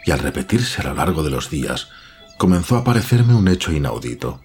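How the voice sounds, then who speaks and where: A farmer and devoted father with a very deep, bottomless register. He tells his tale deliberately, as in a horror audio drama, with music clearly playing behind him. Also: big pitch swings. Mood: puzzled. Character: farmer father, puzzled, fond of his daughter.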